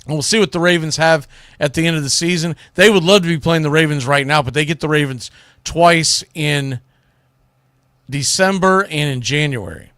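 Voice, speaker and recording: A man's voice: on the high side, moderate pace, a bit bright, dry recording, clean studio sound. A man hosting a sports talk show, giving casual, opinionated commentary in colloquial language, speaking straight to his listeners.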